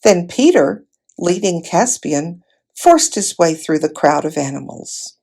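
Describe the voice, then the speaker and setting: A woman reads a children's storybook aloud in an expressive narrating style. Her pitch moves around in a very lively way while the pace stays slow, and there is a gravelly creak in her voice. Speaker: volunteer storybook reader.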